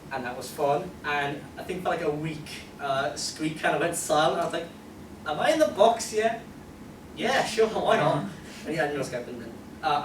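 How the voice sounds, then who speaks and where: Higher-voiced young British man, echoey room. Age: young adult. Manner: jokey, self-deprecating, informal. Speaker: convention panelist, amateur animator. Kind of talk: casual unscripted panel chat. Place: convention panel room, indoors. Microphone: distant camera microphone. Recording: echoey, noisy room, distant microphone.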